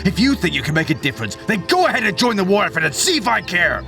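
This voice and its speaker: A man's voice: high voice, very animated, fast, over music. A man playing a stern, bitter middle-aged father in an argumentative, commanding way for an audio drama. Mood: angry, harsh. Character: stern, bitter father, anti-military colonial speaker.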